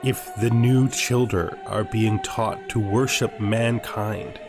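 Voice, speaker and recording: A man's voice: on the low side, fairly even intonation. Man playing a solemn, grandiose vampire commander. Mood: defiant resolve. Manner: solemn, grandiose, measured oratory. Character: proud, zealous vampire clan commander monologuing, ageless and defiant. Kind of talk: dramatic in-character roleplay monologue. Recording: music behind the voice.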